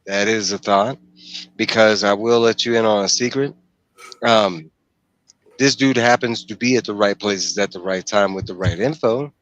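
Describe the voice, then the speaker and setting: A man's voice: on the low side, normal speed, fairly bright tone, poor audio. A man in his forties hosting a casual book discussion, explaining things and putting questions to the group over a headset mic. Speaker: host of a book discussion stream. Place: small room at home, video call. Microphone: headset microphone.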